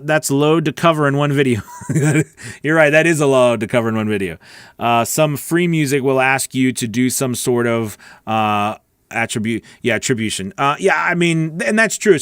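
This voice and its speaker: A man's voice: mid-range voice, moderate pace, a bit bright, smooth, dry recording, studio quality. A middle-aged man hosting a casual livestream, chatty and rambling in an informal, friendly way as he answers viewers. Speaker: host, voice-over coach. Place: small home recording studio. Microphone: close broadcast mic on boom arm.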